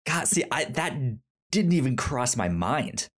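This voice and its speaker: A man hosting a podcast in a casual film review chat, with a halting delivery that often repeats and trips over words. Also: medium pitch, big pitch swings. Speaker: podcast host.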